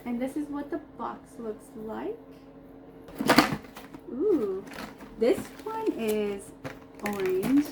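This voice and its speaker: Female vlogger, casual review delivery, higher pitch, slow pace, noticeable background noise. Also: very animated. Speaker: product reviewer. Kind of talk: casual unboxing review vlog. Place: upstairs room in a brick house. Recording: noisy home recording.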